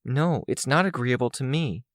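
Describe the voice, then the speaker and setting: A forlorn, stubborn young woman, voiced by a male narrator in an audiobook. The pitch is pushed well up, with an earnest, pleading insistence and a dry, close sound. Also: lively intonation, moderate pace, no room sound, lightly acted. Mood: pleading, insistent.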